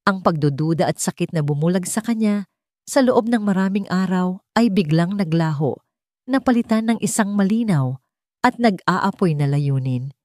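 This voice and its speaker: Female story narrator: lowish pitch, mellow tone, dramatic scripted read, very dry close recording. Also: expressive, moderate pace, no echo.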